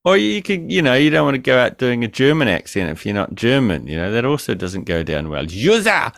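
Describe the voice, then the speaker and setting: A man's voice: medium pitch, big pitch swings, fairly quick, gravelly, a bit bright, dry recording, studio quality. A man co-hosting a podcast by remote call, joking crudely and swinging between mumbling and exclaiming. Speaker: podcast co-host. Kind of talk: casual podcast banter. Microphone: remote call mic.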